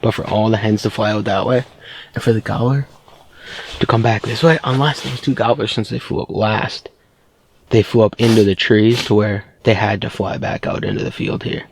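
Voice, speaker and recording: A man's voice: fairly low pitch, fairly even intonation, normal speed, a little hiss or hum. A young man hunting outdoors, talking in a casual, easygoing way and calling his friend "dude" as he goes over the morning. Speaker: hunter and channel host. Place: outdoors at a field edge by woods.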